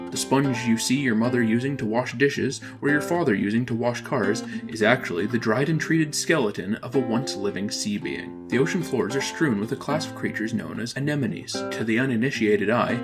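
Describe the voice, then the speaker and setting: A man reading scripted educational narration in a measured, formal, explanatory manner. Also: medium pitch, over music.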